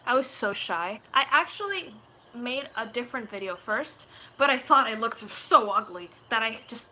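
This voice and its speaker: A young woman of about twenty with a bright, crisp-edged voice talks casually to her viewers. She rambles playfully, and her rather high voice comes through slightly noisy webcam audio in a room at home. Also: expressive, moderate pace. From Puerto Rico. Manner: playful, rambling, slips into put-on accents and voices. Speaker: video creator chatting with viewers. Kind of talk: casual live chat. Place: small room at home, indoors.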